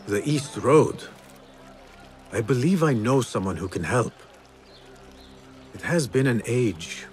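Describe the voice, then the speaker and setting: Game role: young assassin, once a street thief, medium-pitched, very varied intonation, earnest and courteous. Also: male voice, animated, takes it slowly, darkish tone, soft background music. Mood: earnest, reflective. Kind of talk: video game character acting.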